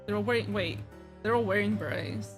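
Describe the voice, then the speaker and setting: A woman's voice: medium pitch, brisk, music in the background. A woman giving casual, slangy, conversational reactions as she streams a video game. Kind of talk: casual live gameplay reaction commentary.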